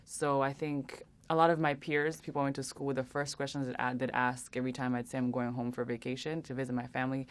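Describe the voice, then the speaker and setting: A young woman answering interview questions earnestly, with many pauses and restarts. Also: rumbling low, little pitch movement. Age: young adult. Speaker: interview guest.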